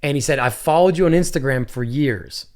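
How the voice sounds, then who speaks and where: A man's voice: medium pitch, brisk. A man hosting a podcast, casually telling a long story and acting out the people in it. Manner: animated storyteller, acts out quotes. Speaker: podcast host.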